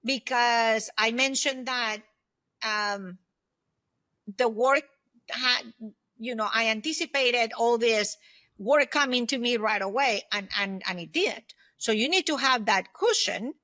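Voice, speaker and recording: Bright, higher-pitched woman giving practical advice. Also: slow pace, no room sound. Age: 50s. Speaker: podcast guest, former aerospace executive. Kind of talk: conversational interview, giving advice.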